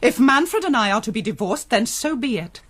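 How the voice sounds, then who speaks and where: A woman's voice: fairly high pitch, very animated, moderate pace, fairly bright tone. A woman playing a pious, submissive noble mother in a Gothic radio drama, formal and earnest in delivery. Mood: resigned, pious.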